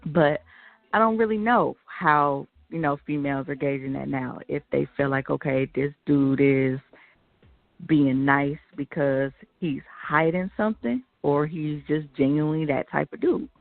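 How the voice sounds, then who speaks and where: An American woman with a creaky voice chatting bluntly and slangily as a radio co-host, giving her opinions. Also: sits low, a little slow, no echo. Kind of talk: casual opinionated chat. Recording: narrow tinny phone-like line.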